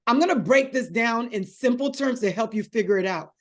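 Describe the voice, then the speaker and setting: Woman host, kinda high voice, playing a guessing game, gives hints nice and patient. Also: lively intonation, brisk. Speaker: show host. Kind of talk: playful interview game.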